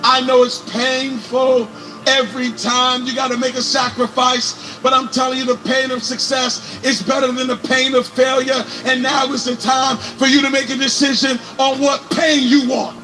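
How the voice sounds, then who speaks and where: A man with a very high, strained voice delivers a pep talk to students. He hammers the same phrases again and again and pushes them up into shouts over music playing underneath. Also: flat delivery, normal speed, poor audio. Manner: intense, repetitive, building to shouts. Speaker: motivational speaker. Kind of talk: motivational speech to students.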